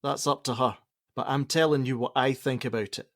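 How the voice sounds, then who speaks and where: A man's voice: mid-range voice, steady tone, fairly quick, dry recording, lightly acted. Blunt, prickly middle-aged Scottish father, played by an actor. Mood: angry, unyielding. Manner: blunt, argumentative, sarcastic. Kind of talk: radio play acting.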